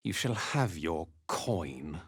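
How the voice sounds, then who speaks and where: Haughty, cruel zealot commander, swooping delivery. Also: male voice, sits low, very animated, breathy edge, no echo, studio quality, exaggerated voice. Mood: contemptuous, menacing. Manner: imperious, contemptuous, deliberate. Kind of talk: dramatic video game character lines.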